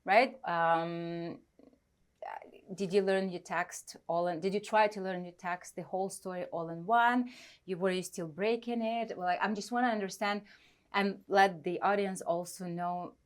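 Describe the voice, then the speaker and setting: A woman's voice: lowish voice, steady tone, unhurried. A woman with a Russian accent hosting a casual interview, asking long rambling questions with many fillers. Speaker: host.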